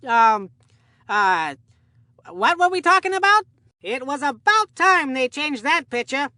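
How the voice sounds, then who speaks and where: A cheerful, forgetful old grandpa calling baseball in a kids' cartoon game. The voice is high and piercingly bright, recorded very dry and close. He rambles in a folksy, excitable way. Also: no room sound, heavily acted. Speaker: sports commentator in a children's baseball game.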